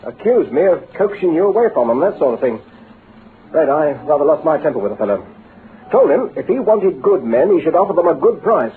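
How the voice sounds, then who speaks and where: A smooth-talking British businessman in an old radio drama. His voice swings animatedly as he flatters and persuades in a brisk, avuncular way. The sound is thin and band-limited, with a light hiss. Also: on the high side, phone-quality sound. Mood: indignant, goading.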